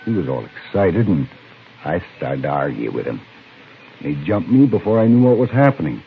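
A man's voice: low-pitched, very animated, moderate pace. A man playing a folksy, plainspoken rancher with a rural American accent in a 1950s radio western. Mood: puzzled. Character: folksy, easygoing Old West rancher. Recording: muffled, noisy vintage radio recording.

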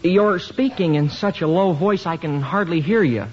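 A man's voice: high-pitched, normal speed, close to an everyday voice. Man playing a bashful young husband, muffled old radio. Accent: American. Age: young adult. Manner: hesitant, awkward, earnest. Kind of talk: 1950s radio comedy acting. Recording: noisy, muffled 1950s radio recording.

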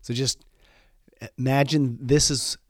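A man with a cold hosting a solo podcast, his voice a little squeaky and stuffed up, talking in a relaxed, rambling way with many fillers. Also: slow, dry recording, studio quality. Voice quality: slightly squeaky voice. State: congested from a cold. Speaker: podcast host.

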